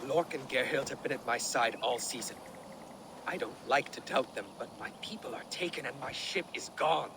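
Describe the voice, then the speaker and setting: An actor playing a brash, roguish Viking sea captain full of fire in a video game. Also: male voice, medium pitch, normal speed, natural voice. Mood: grave. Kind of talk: video game cutscene acting. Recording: loud background noise.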